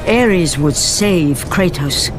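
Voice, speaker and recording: An actor narrating an epic video game as a grave, ominous storyteller, with dramatic, weighty phrasing. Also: male voice, fairly high pitch, lively intonation, drawn-out words. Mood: solemn, ominous. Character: solemn, ominous storyteller of a mythic tragedy. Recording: dramatic music under the narration.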